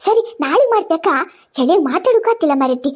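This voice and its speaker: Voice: very high-pitched, animated, quick pace, mellow. A performer voicing a grumbling, muddled elderly mother in a cartoon comedy, with a Kundapura Kannada accent and wailing, repetitive exclamations. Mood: weary, grumbling. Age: older.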